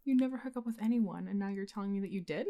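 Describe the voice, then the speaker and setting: A young woman acting as a blunt, secretly smitten best friend in an intimate, conversational ASMR roleplay, with a casual, expressive delivery. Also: mid-range voice, racing, lightly acted. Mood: incredulous, teasing. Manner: casual, expressive, sighing, sweary.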